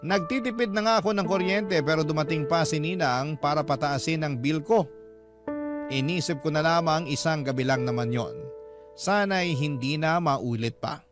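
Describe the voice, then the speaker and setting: A man narrating a radio drama in scripted first-person storytelling style. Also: a little high. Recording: music bed behind the narration.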